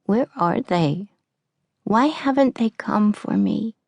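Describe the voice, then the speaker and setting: A woman's voice: mid-range voice, expressive, takes it slowly, a little mellow, dry recording, pitched above the performer's own voice. A tough, caring orphan kid who leads the bunch, as a woman narrator plays her. She is plain-spoken and slangy, in a close, dry storybook recording. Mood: wistful, hurt. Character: tough, caring young orphan girl, the group's leader.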